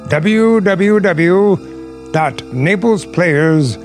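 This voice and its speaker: A man's voice: high-pitched, expressive, very slow, faint music behind. A man working as an announcer, reading a scripted outro for a radio theater production.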